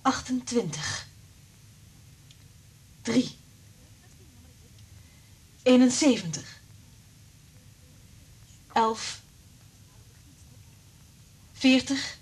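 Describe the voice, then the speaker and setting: An actress playing an anguished, bitter married woman in a radio drama, intense and confiding, with a breathy, husky voice that drops to whispers. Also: fairly high pitch, very slow, pauses often, full character voice. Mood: tense, insistent.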